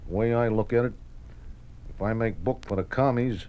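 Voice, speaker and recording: An American actor playing a folksy, defensive racetrack bookie in a 1950s radio drama, talking in streetwise slang with stammered repetitions. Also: male voice, lowish voice, normal speed, a little mellow, clear, resonant tone, natural voice. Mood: explaining, self-justifying. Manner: streetwise slangy talk, hesitant repetitions, aggrieved. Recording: noisy old radio broadcast recording.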